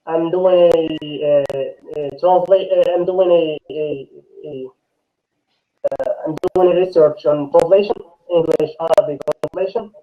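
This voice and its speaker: Over a thin, phone-like and garbled internet line, a man with a Moroccan accent speaks in a high voice. He introduces himself haltingly, repeating words as he goes. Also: steady tone. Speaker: student, trainee teacher. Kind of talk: hesitant self-introduction. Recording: muffled, garbled weak internet connection.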